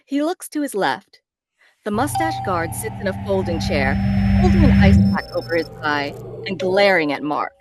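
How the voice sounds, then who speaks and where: A woman's voice: mid-range voice, big pitch swings, moderate pace, heavy background noise. A woman narrating stage directions in a scripted table read.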